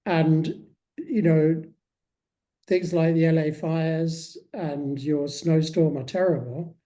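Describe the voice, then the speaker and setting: Guy being interviewed about his work, soft-edged warm tone, kinda careful and halting, thinks out loud. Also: fairly high pitch, fairly even intonation. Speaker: interviewed author. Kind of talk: reflective conversational interview.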